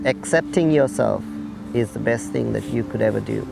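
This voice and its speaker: A middle-aged man from Sri Lanka speaks in a measured, deliberate way as he shares his own story. His voice has a mid pitch and a gravelly, creaky texture. Soft music plays faintly behind him. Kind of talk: documentary interview testimony.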